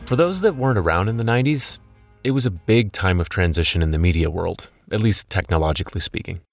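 A man's voice: low-pitched, expressive, quick pace, faint music behind. A man in his thirties, a podcast host telling a personal story in scripted documentary narration.

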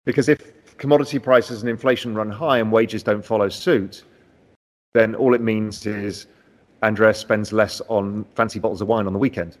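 A man in a finance interview, confidently explaining macroeconomics with animated emphasis. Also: on the low side, moderate pace. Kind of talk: conversational market discussion.

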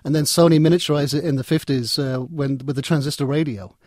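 A man's voice: fairly high pitch, steady tone, fast talker, a little mellow, no echo, studio quality. A man talking as an interview guest in a conversational, discursive way, often repeating and reworking his words. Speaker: interview guest, technology writer and editor.

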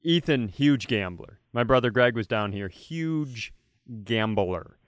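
A man's voice: medium pitch, expressive, takes it slowly, dry recording, studio quality. A man co-hosting a comedy podcast, telling casual comic stories with profane, jokey banter.

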